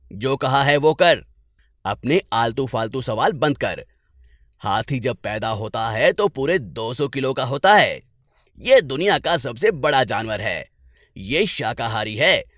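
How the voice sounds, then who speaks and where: A wealthy, bossy master from a comic folk tale, as a male narrator puts him on. He lectures and scolds in a middle-pitched, very animated voice, though kindly at heart. The sound is dry and close. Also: no echo. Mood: lecturing.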